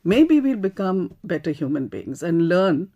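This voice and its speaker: Earnest, mellow-voiced Indian woman. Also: on the low side, big pitch swings, a little slow, muffled recording. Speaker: animal rights activist, panelist. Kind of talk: radio panel discussion.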